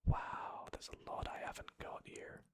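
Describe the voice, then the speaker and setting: An ASMR creator whispering softly and hesitantly while typing, muttering and correcting themselves as they go. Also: mid-range voice, level tone, husky. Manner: soft unvoiced whisper, slow and hesitant. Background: keyboard typing.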